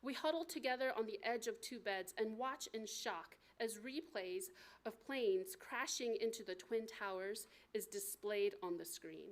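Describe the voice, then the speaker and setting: An American woman reading aloud from her memoir as its author, in an expressive, deliberate storytelling manner at a live event. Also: on the high side. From United States. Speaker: author reading from own book.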